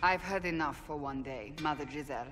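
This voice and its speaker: A very low-pitched female warrior, played by an actress, stern and principled. She speaks in formal, deliberate phrases with earnest bluntness, as in a dramatic fantasy game. Background noise sits under the voice. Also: close to an everyday voice. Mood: guarded, dry. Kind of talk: dramatic video game dialogue.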